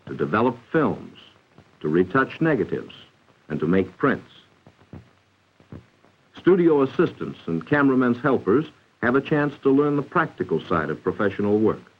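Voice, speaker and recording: A man's voice: mid-range voice, slightly rough, narrow, tinny audio. An American man reading scripted 1940s educational film narration in a formal, instructive, carefully enunciated way, on an old film soundtrack. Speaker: narrator of a vocational training film.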